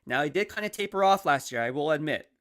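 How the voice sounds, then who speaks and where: A man's voice: fairly high pitch, fast talker. A man hosting his own football analysis show, talking casually and energetically, often checking in with "right?", while losing his voice. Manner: energetic, conversational, peppered with "right?" and "all right?". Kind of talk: casual sports commentary monologue.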